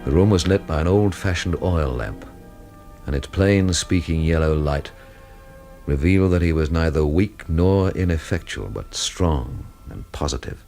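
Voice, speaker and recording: A young investigator at the centre of a radio mystery. His voice is low and measured, with a cool, dry, sardonic edge in both narration and dialogue. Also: darkish tone, faint music behind. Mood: measured, atmospheric. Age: young adult.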